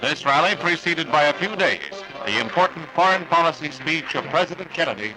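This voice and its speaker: A man's voice: fairly high pitch, animated, a little fast, bright, forceful tone, low-quality recording. An American man reading scripted 1960s newsreel narration in a formal announcer's delivery. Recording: vintage 1961 newsreel soundtrack. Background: prominent music.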